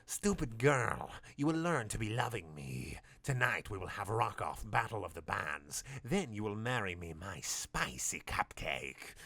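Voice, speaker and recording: A man voicing a sleazy, menacing male space villain with a slow, drawling delivery. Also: on the low side, sing-song, gravelly, sharp tone, dry recording, exaggerated voice. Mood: leering.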